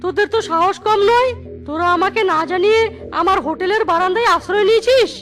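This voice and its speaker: A woman voicing a mean male hotel owner in a children's cartoon, loud, scornful and hectoring. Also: very high voice, fast. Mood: angry, contemptuous.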